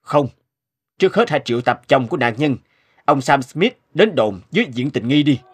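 A man's voice: medium pitch, lively intonation, normal speed, husky. A man playing a composed, methodical police investigator in a cartoon, speaking in a formal, official manner. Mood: firm. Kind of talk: cartoon dub acting.

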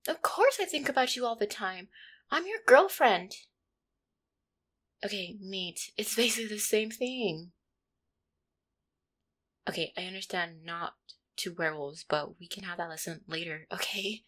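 A woman voicing an affectionate, insecure catgirl girlfriend in an intimate roleplay, with a hesitant, soft-hearted manner. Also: medium pitch, expressive, a little slow, pauses often. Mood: tender, hesitant. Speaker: roleplay audio performer. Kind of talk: intimate one-sided roleplay audio acting.

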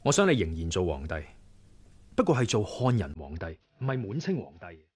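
A man's voice: deep voice, huge pitch swings, a little fast, breathy edge, full character voice. A man acting a young, righteous martial rebel leader in a Cantonese radio drama, earnest and persuasive, with formal declamatory speech. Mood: persuasive, stirring.

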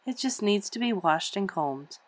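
Narrator playing a patient storybook mom, soft and calm, close dry sound. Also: female voice, medium pitch, moderate pace, dry recording, lightly acted. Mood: soothing. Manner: soft and soothing. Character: gentle, patient middle-aged mother.

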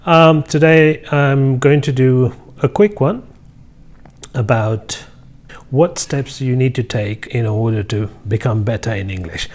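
A man's voice: mid-range voice, a little slow. A man in his thirties from Sri Lanka, teaching English basics to camera in a casual, encouraging way with many fillers, recorded on a lapel mic in an office. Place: high-rise office by a window, indoors.

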